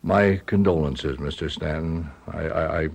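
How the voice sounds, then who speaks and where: A deep-voiced, courteous, suspicious sergeant. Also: male voice, unhurried, vocal fry, a little mellow, exaggerated voice. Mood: apologetic, condoling. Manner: courteous, probing, understated. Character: polite, quietly suspicious police sergeant. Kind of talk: radio mystery drama acting.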